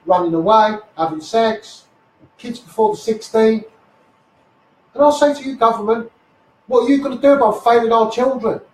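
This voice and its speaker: A man with a Scouse accent delivers an impassioned, blunt, sweary live rant as a campaigner, repeating phrases for emphasis. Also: high-pitched. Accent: Scouse (Liverpool). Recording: echoey, noisy livestream, distant mic.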